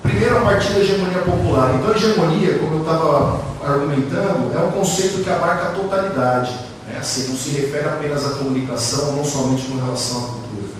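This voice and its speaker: A man's voice: a little high, fast. A Brazilian man giving an academic lecture at a conference panel in a discursive manner, often adding short tag questions. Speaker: academic speaker. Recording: very echoey hall, distant mic, faint noise.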